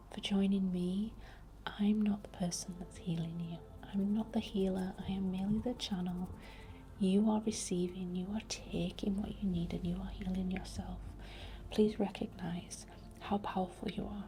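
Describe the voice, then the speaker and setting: A woman with a Northern English accent speaking in a breathy whisper, gentle and unhurried, in the reassuring style of spiritual ASMR. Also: mid-range voice. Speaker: ASMR creator and witch.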